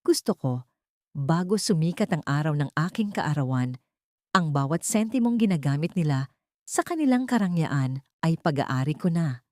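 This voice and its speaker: A woman's voice: deep voice, expressive, normal speed, darkish tone, no echo, studio quality. A woman voicing a cold, authoritative young heiress bent on revenge in an audio story. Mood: cold, commanding.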